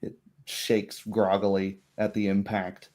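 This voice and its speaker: A man narrating a tabletop roleplaying game as game master, in a measured way. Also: fairly low pitch, completely flat delivery, a little mellow.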